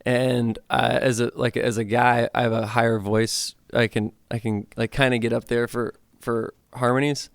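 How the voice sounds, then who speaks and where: Gravelly-voiced guy in his 30s, rambly and relaxed, radio studio, dry sound, a little music in the back. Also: medium pitch, moderate pace, hardly any pauses, dry recording. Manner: loose, filler-heavy talk with lots of "like". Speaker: musician guest. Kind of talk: casual interview chat.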